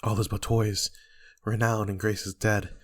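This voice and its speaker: A man's voice: fairly low pitch, level tone, moderate pace, lightly acted. A man playing a brooding, guilty usurper who declaims weightily in an amateur Shakespeare reading. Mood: feigned grief. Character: guilty usurper Macbeth feigning grief, brooding.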